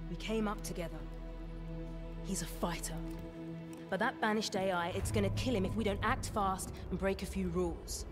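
A woman's voice: mid-range voice, lightly acted. A woman playing a tough, wry supersoldier commander in a video game, with a dry, confident, commanding delivery. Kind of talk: video game cutscene acting.

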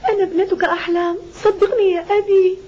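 Earnest young daughter in a cartoon, really high soft-toned voice, quick talker, tender. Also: female voice, flat delivery, slight background noise. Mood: earnest pleading. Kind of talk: animated drama dub acting. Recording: dull lo-fi cartoon soundtrack.

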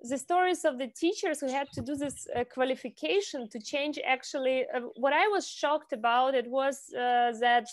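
High-voiced Ukrainian woman on a video call. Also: dry recording. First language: Russian. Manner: halting, many fillers and restarts. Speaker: film director. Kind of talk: online Q&A interview. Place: home room, indoors. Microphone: earphone headset on a video call.

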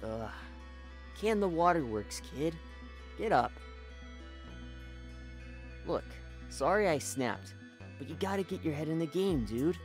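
A low, lively voice for a brash young male hedgehog hero in a cartoon dub, played by a woman. He is blunt, slangy and exasperated in a brotherly way, over faint music. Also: slow pace, long pauses, exaggerated voice. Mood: gruffly encouraging. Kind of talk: cartoon comic dub acting.

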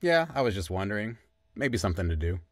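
A man's voice: fairly low pitch, sing-song, quick pace, dry recording, studio quality. A man playing a wistful struggling musician and podcaster around thirty, hesitant and reflective in naturalistic audio drama.

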